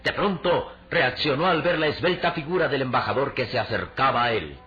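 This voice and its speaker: A man's voice: medium pitch, brisk, pushed and bright. A man narrating a radio serial from a script in a solemn, theatrical storytelling style. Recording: dull radio recording with music underneath.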